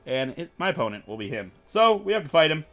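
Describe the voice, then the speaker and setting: A man's voice: fairly high pitch, very animated, quick pace, soft background music. A man giving rambling, casual commentary over a video game he is playing, full of asides and filler words. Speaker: let's play host.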